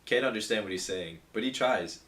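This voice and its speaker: A man's voice: lowish voice, fairly quick, a bit bright. A young man co-hosting a podcast, chatting in a laid-back, dry way with friends. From Pennsylvania, US. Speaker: podcast co-host. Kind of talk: casual chat among friends.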